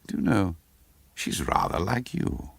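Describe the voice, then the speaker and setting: A man's voice: deep voice, huge pitch swings, unhurried, creaky, breathy edge, no echo, voice pushed up, exaggerated voice. A man reading aloud as a cultured, well-bred English husband, urbane and casually knowing, in a radio story. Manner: light, urbane, casual lecturing.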